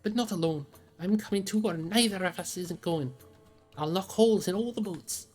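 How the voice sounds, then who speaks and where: Loyal hobbit manservant as put on by a book reader: high, rustic, anxious, earnest, music behind. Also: normal speed, natural voice. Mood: eager, determined. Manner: rustic, pleading, earnest speech.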